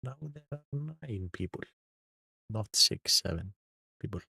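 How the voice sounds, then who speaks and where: Slow gravelly Icelandic-accented man. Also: on the low side, expressive, bright, forceful tone, dry recording, clean studio sound. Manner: reads chat aloud and greets viewers one by one. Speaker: live streamer. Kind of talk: casual live stream chat.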